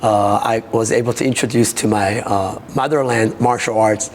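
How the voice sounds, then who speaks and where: A man from South Korea with a Korean accent, a taekwondo master speaking haltingly in an interview, with many fillers. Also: lowish voice, steady tone, long reverb tail.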